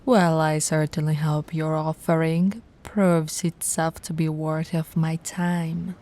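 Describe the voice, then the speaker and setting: A woman playing a haughty, possessive immortal vampire aristocrat in an ASMR roleplay, imperious and condescending, theatrical, often dropping into a breathy whisper. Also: deep voice, flat delivery, slow, dark tone. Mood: disdainful, bored.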